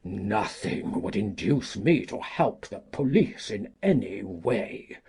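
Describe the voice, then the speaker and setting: Gravelly, pompous old gentleman. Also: extremely low pitch, expressive, voice pushed down, heavily acted. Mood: indignant. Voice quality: gravelly, husky, breathy. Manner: pompous, emphatic, deliberate. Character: cantankerous, self-important old gentleman with a grievance. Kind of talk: audiobook character reading.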